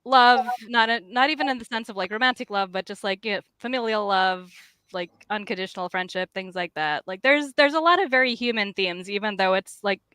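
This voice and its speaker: A woman comic artist chatting casually about her work, with an animated, self-deprecating, slangy way of talking. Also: mid-range voice, clean tone, no room sound. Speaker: comic artist and writer, guest.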